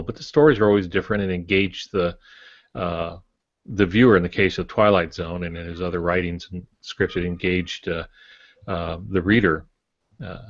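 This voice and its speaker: An older man co-hosting a podcast, explaining his thoughts reflectively and haltingly in casual discussion. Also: low voice, no echo, lo-fi sound. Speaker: podcast co-host.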